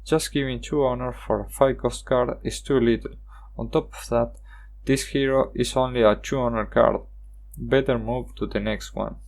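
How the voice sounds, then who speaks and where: A young man from Argentina, a Spanish speaker, hosting a card game review voice-over in careful, halting English. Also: medium pitch, steady tone, creaky, a little mellow. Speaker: channel host.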